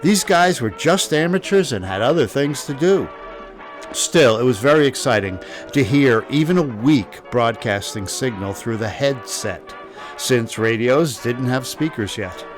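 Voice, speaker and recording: A man's voice: mid-range voice, animated, unhurried. A man narrates a scripted history in a wry, conversational storytelling way. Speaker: podcast host. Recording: music playing behind the voice. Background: background music.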